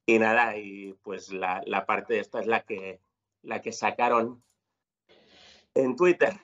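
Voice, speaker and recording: A man's voice: on the low side, takes it slowly, fairly bright tone. A man from Spain, a guest on a podcast, talking casually over a video call with many fillers and restarts. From Spain, born in Zaragoza, raised in Girona. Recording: slightly dull, lo-fi call audio.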